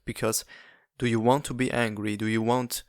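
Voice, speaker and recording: A man's voice: mid-range voice, level tone, no echo, studio quality. A young man from Switzerland, speaking English as a second language, talks through his podcast alone and stops often for fillers and restarts. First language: not English. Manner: halting, many fillers and restarts. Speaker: podcast host. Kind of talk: casual solo monologue.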